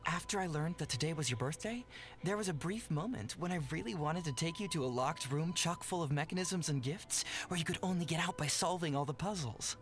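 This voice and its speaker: An actor playing a clever, polite young boy detective in a video game, composed, articulate and gently teasing. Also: medium pitch, fairly quick, lightly acted. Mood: playful, warm. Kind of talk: scripted video game character dialogue.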